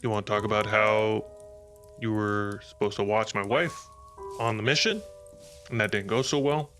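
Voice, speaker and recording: A man playing a stern, bitter military superior in an improvised tabletop roleplay, speaking in measured, accusing questions. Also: lowish voice, steady tone, a bit bright, lightly acted. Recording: music behind the voice.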